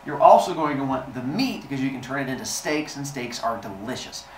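A man acts out a shrewd, impatient titan in a comic tale. He coaches conspiratorially in an animated everyday voice, heard in an echoey room. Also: medium pitch, a little fast, far from the mic, lightly acted. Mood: scheming, instructing. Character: clever, exasperated male titan scheming and coaching. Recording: echoey room with background hiss.

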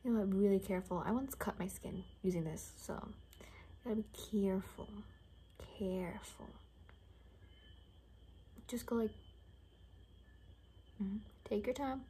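A young woman in a whispered ASMR roleplay, rambling casually in a soft, breathy, chatty way. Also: medium pitch, measured pace, long pauses, a little hiss or hum. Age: young adult. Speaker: ASMR creator playing an attendant. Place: small bedroom indoors.

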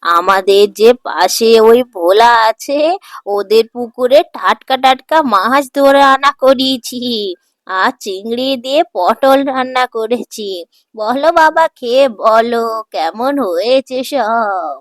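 A woman's voice: on the high side, lively intonation, slow pace, no room sound. A woman voicing a doting, bossy older mother-in-law in a cartoon, fussing and emphatic. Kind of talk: cartoon voice acting.

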